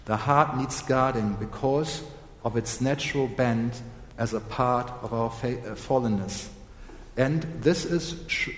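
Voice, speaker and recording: A hoarse man preaching a sermon, slow and deliberate, clearing his throat often. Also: mid-range voice, a little hiss or hum. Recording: echoey hall with long reverb.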